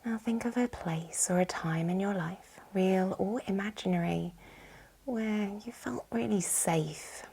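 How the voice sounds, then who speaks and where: A woman with a soft, breathy, whispery voice, soothingly guiding a yoga nidra relaxation with gentle instructions. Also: on the low side, low-quality recording. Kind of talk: guided relaxation meditation.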